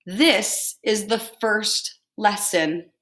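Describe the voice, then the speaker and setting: A woman reading the part of an encouraging village schoolteacher in a storybook, speaking to pupils with deliberate emphasis. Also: mid-range voice, fairly bright tone, echoey room. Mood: resolute. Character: encouraging female village schoolteacher praising her young pupils.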